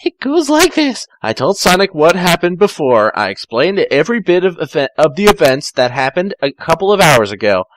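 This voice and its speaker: Halting man reading a story, dry sound. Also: a little high, sing-song, a bit bright, dry recording. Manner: halting, often rereading phrases. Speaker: narrator. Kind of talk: amateur first-person story reading.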